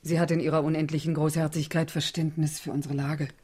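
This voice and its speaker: Deep-voiced, obsequious mercenary widow. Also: female voice, little pitch movement, fast talker, dark tone, breathy edge. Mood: obsequious, plaintive. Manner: deferential, plaintive, formal. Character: obsequious fallen widow, mercenary mother of grown daughter. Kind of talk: 1970s German radio play acting.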